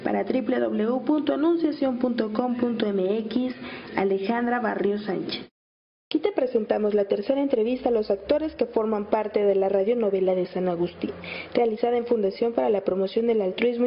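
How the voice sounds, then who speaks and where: A woman's voice: on the high side, a little fast, gravelly. A woman working as an interviewer and presenter. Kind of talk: interview questions and scripted introductions. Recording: slightly muffled, faint background noise.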